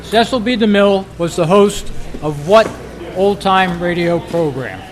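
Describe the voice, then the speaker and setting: A man with a high-pitched voice runs a quiz for a club gathering. He addresses the group informally and reads each question out to them. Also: animated, unhurried, low-quality recording. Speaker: quiz master. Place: indoor club meeting.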